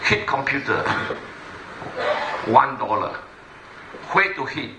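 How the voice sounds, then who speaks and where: A man's voice: medium pitch, very animated, slow pace, roomy sound, noisy background. A man voicing a smug male computer expert who talks in a matter-of-fact, clipped way.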